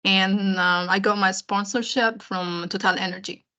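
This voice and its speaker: Egyptian woman student on a call, bright voice, kinda muffled. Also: medium pitch, steady tone, a little slow. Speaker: student panelist.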